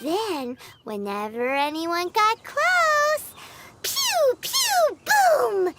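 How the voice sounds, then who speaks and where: A woman voicing a small, excitable childlike fairy companion with a squeaky voice in an anime-style video game. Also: very noisy, full character voice. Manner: exclamatory, expressive, refers to self in third person. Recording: studio voice under prominent background music. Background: game music.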